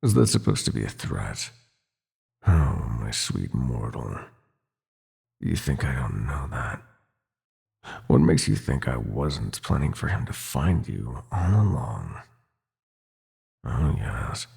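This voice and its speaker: A man's voice: rumbling low, big pitch swings, takes it slowly, long pauses, darkish tone, heavily acted. A man playing a sinister, seductive prince of nightmares in an ASMR roleplay, gloating and possessive, with a theatrical, taunting purr that slips into whispers. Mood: gloating, menacing. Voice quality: gravelly, husky.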